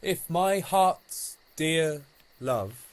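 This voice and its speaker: A man's voice: a little high, animated, drawn-out words. A man acting an ardent young romantic lover in a Shakespearean audio drama, with theatrical verse delivery, recorded at home on a phone. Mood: pleading, devoted.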